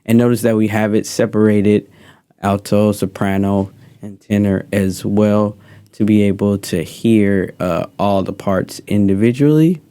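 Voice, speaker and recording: A man teaching music recording in a relaxed, rambling way, explaining a studio session. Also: lowish voice, measured pace, clear, resonant tone. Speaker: music mentor. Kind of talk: casual teaching.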